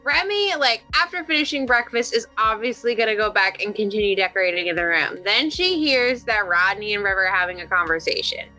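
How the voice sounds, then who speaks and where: A woman's voice: medium pitch, lively intonation, a little fast, hardly any pauses, bright, forceful tone. A playful woman chatting colloquially as a player in a tabletop roleplaying game. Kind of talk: casual animated game chat. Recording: music playing under the voice.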